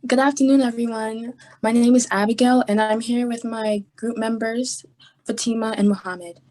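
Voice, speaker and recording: A woman's voice: a little high, fairly even intonation, moderate pace, mellow. A young woman from New York giving a prepared student presentation in a composed, scripted way over a video call. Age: young adult.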